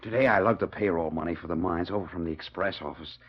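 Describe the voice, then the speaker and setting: An actor playing an anxious, humble reformed outlaw working as a bank guard in a 1940s radio western, earnest and hesitant. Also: male voice, sits low, quick pace, slightly rough. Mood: humble, proud. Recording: dull, muffled old 1940s radio recording.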